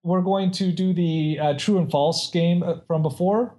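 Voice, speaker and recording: A man's voice: high-pitched, steady tone, measured pace, mellow. A man casually chatting and reading out odd facts, rambling with many restarts and frequent laughs.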